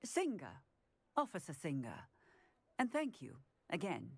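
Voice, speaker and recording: A woman voicing a brisk, plain-spoken officer of magic in a fantasy video game, emphatic, with a British accent. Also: low-pitched, huge pitch swings, creaky, a little mellow, breathy edge, exaggerated voice. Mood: amazed, grateful.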